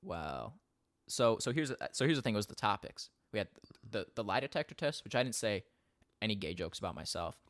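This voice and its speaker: Fast-talking young man, slightly low voice. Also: big pitch swings, a bit bright, no echo, clean studio sound. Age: young adult. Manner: fast-talking, rambling, laughs often. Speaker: podcast co-host. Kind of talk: casual chat. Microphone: studio podcast mic.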